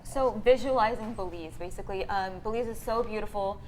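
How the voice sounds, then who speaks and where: A woman's voice: medium pitch, brisk, darkish tone, a little hiss or hum, low-quality recording. A young woman from the United States answering casually as an interview guest, often saying "like". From Houston, United States. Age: young adult. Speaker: fellowship guest, college student.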